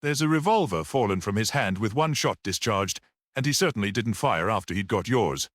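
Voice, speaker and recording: A man acts out a self-satisfied detective in a storybook mystery. He is grave and matter-of-fact, with animated intonation and a dry, close sound. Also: fairly low pitch, fairly quick, no echo. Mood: grave reassurance.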